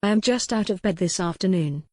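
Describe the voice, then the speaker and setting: A woman's voice: medium pitch, a little fast, darkish tone, no room sound, clean studio sound, close to an everyday voice. Frail, wry young noblewoman, formal speech , read by a woman narrator.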